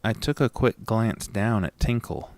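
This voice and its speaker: A man reading a scripted first-person horror story in a dramatic, deliberate storytelling manner. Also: on the low side, dry recording. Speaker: narrator and lead of a horror audio story.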